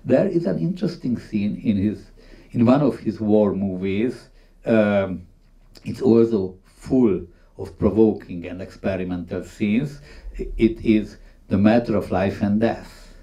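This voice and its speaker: Slow, distant man giving a talk. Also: on the low side, dark tone, dull audio. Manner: halting, emphatic, non-native English phrasing. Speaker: conference speaker on film history. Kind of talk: unscripted talk retelling film scenes.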